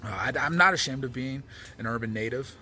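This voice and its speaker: An American man telling reflective personal stories as a storyteller, speaking haltingly and often repeating words as he searches for the next phrase. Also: medium pitch, pushed and bright, faint music behind. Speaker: comedian and storyteller.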